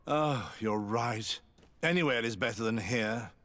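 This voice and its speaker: A man's voice: mid-range voice, a little slow, fairly bright tone, lightly acted. A man playing a bitter, overworked alchemist's employee in a video game. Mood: disgruntled.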